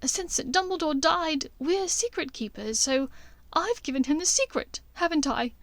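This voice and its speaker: An anxious young witch, voiced by a woman narrator, speaks high and urgently. She pleads in halting, breathless phrases, as in a dramatic fantasy audiobook, with a close, dry sound. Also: lively intonation, no room sound. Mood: guilty, distraught.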